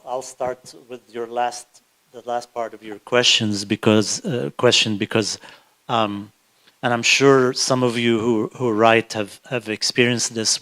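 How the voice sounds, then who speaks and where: A man from South Lebanon talks as an author at a book event. He speaks haltingly, circling back and restarting his sentences, in an easy conversational tone before a live audience. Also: mid-range voice, fairly bright tone. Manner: halting, many restarts and fillers.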